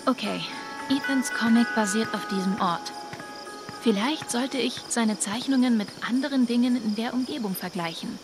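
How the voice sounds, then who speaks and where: An actress voicing an earnest, self-doubting young woman in a video game dub, soft-spoken and pensive. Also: fairly high pitch, moderate pace, loud music behind the voice, natural voice. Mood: focused, puzzling out. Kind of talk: dubbed video game acting.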